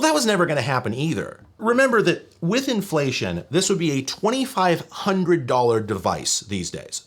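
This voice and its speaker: A man's voice: a little high, fairly bright tone. A man hosting a scripted tech history video essay, with wry, opinionated, emphatic phrasing. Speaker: video essay host and narrator.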